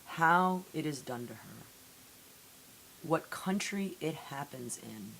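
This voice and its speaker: A woman reading poetry aloud in a solemn, deliberate way with measured phrasing and dramatic pauses, on an amateur recording. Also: deep voice, lively intonation, vocal fry, a bit bright, rough recording. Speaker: poetry reader. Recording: amateur recording with audible hiss.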